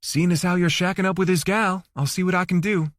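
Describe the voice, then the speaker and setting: A man's voice: sits high, fairly even intonation, brisk, dry recording, studio quality, pitched above the performer's own voice, lightly acted. A man voicing a sassy, teasing audiobook woman with a folksy, slangy drawl. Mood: teasing, amused.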